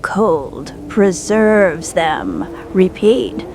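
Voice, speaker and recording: A woman's voice: on the low side, animated, extremely slow, music in the background, heavily acted. A woman playing a stark emergency field reporter, sending clipped bulletins in an apocalyptic radio drama. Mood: stark, ominous. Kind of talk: clipped repeating emergency transmission. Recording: noisy distant radio transmission.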